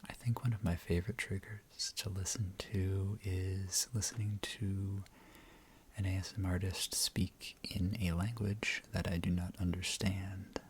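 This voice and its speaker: Very low, slow, whispering man. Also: little pitch movement, a little hiss or hum. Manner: quiet, unhurried, measured. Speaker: ASMR co-host, host's husband. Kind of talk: soft-spoken, whispered ASMR chat.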